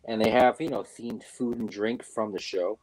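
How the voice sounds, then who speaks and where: A man's voice: fairly low pitch, steady tone, moderate pace, a crowd in the background, low-quality recording. A young man chatting casually as a guest, rambling with fillers and restarts. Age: young adult. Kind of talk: casual chat. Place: New York.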